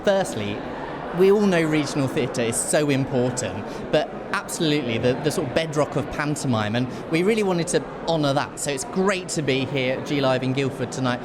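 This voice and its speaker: A man gives enthusiastic, emphatic answers in an informal interview. His voice sits at a middling pitch, with clear background noise around him. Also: expressive, a little fast. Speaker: association chair being interviewed. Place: busy venue before an awards ceremony. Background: venue noise.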